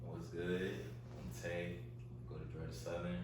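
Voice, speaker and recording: A man's voice: deep voice, level tone, measured pace, far from the mic, very noisy. A man of twenty-one in a casual group discussion, speaking candidly with plenty of slang. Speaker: student panelist. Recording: echoey room from afar, music behind.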